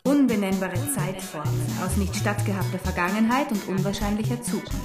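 A woman's voice: mid-range voice, a little fast, close to an everyday voice. An actress playing a female chorus voice of furry guardians in a literary radio drama. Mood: reciting. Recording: roomy echo, music behind.

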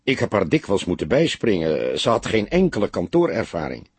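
A man's voice: low voice, wildly swooping pitch, a little fast, a little mellow, slightly rough, dry recording, full character voice. Een man met een Nederlands en hese stem speelt in een luisterboek een jonge kantoormedewerkster die ontwijkend en stug antwoordt. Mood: matter-of-fact. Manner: evasive, hesitant, reluctant. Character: guarded, curt young female office worker under questioning.

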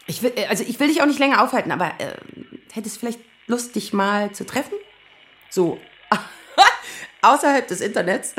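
A woman's voice: medium pitch, natural voice. Actress as a flustered, stammering woman in her thirties. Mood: nervous, awkward. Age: 30s. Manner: halting, stammering, nervous laughs, quick to flare up. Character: flustered, agitated 35-year-old woman lawyer, daughter of Iranian exiles. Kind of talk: naturalistic German audio drama acting.

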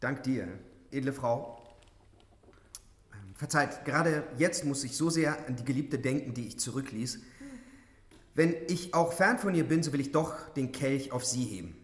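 An actor playing a bold, open-hearted young hero in a fantasy radio drama, with an earnest, heroic manner. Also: male voice, medium pitch, measured pace, big-room sound, natural voice.

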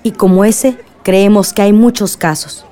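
A woman's voice: medium pitch, unhurried, warm tone, natural voice. A woman playing a supportive, organizing godmother who leads a women's workshop in a radio drama. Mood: earnest, encouraging. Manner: organizing, earnest.

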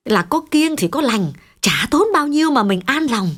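A man voicing a firm, skeptical chairman's wife in a blunt, decisive falsetto. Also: big pitch swings, normal speed, sharp tone, heavily acted. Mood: placating.

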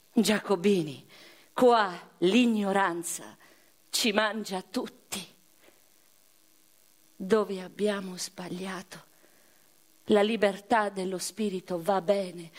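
An actress playing a proud, unrepentant woman revolutionary awaiting execution in prison, in a measured, literary radio-theatre monologue with deliberate pauses. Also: mid-range voice, lightly acted.

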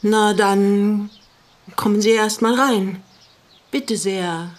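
A woman's voice: mid-range voice, very slow, background noise. An actress playing a resolute, bitter older woman in a German radio drama, speaking in a composed, pointed way. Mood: polite, wary. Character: resolute, bitter older widow receiving guests.

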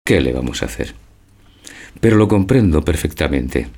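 A low-pitched, cultured old husband in a stage-like radio drama. He speaks with measured courtesy, devoted to his young wife and serenely resigned to her betrayal. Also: animated, darkish tone, slightly rough, full character voice. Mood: tender, resigned. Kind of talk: theatrical radio drama acting.

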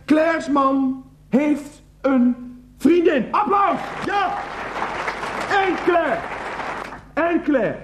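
Radio comedy role: smarmy male game show host, high-pitched, theatrical, oily and overfamiliar, in a roomy echoing studio. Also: animated, drawn-out words, pauses often, lo-fi sound, a faint crowd, slight background noise, full character voice. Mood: bombastic showmanship. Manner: unctuous, theatrical, repeats himself, swings from announcing to coaxing. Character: smarmy, bombastic male TV confession-show host, falsely intimate. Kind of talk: satirical radio play, parodying TV show presenting.

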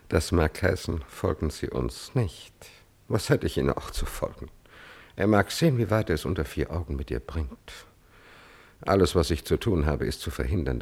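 An actor playing a scheming, cynical court chamberlain in a classical radio drama, smooth, insinuating and calculating in manner. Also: male voice, very deep voice, a little mellow, full character voice. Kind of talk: classical German stage-play radio acting.

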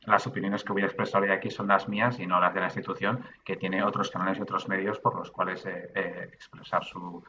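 Bright-voiced man explaining patiently. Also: low voice, flat delivery, brisk, few pauses, muffled recording, a little hiss or hum. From Basque Country, Spain. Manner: explanatory, with many restarts and hesitations. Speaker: guest, data protection official. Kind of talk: conversational podcast interview. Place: remote from his own room.

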